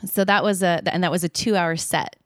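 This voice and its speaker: A woman's voice: on the low side, fairly even intonation, fairly quick, no echo, studio quality. A woman in her thirties, a singer, telling candid stories about her gigs in a casual chat, with lots of fillers and easy laughs. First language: not Spanish; sings in Spanish. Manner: chatty, candid, laughs easily, many fillers. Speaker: singer and podcast co-host.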